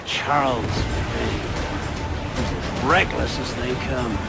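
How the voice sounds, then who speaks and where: A man playing a seasoned, wary, pragmatic pirate captain with a gravelly voice in a video game cutscene. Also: medium pitch, fairly even intonation, takes it slowly, over loud music. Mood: wary, measured. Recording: loud sea surf noise behind. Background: ocean waves.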